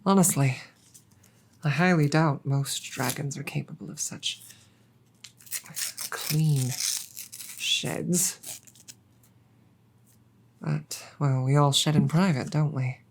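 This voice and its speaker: Dragon girlfriend for intimate audio roleplay, female performer: proud yet bashful, formal diction, breathy and husky, unhurried, hesitant. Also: sits low, heavily acted. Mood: musing. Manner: formal wording, hesitant, halting, soft sighs.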